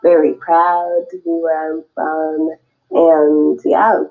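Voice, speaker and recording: A woman's voice: low-pitched, flat delivery, slow. A young woman raised in Cameroon and California, answering interview questions in a loose, rambling way with many fillers. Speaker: guest, swimwear business owner. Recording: dull lo-fi recording.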